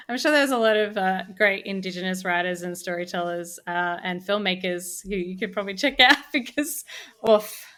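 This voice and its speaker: A woman's voice: medium pitch, normal speed, a bit bright. A woman, chatting casually as a podcast guest. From Australia. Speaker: podcast guest, audio drama co-creator. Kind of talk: casual chat.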